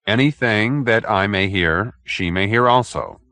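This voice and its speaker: A man's voice: lowish voice, unhurried, pushed and bright, no room sound. A man voicing a businesslike, skeptical private detective in an audiobook, blunt and probing with a dry manner.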